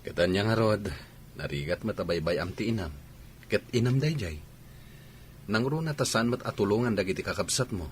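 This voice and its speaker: A man playing a patient, understanding husband in a radio drama, calm and reassuring, with a Filipino accent. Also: on the low side, fairly quick, a little hiss or hum, close to an everyday voice. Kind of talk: Ilocano radio drama acting.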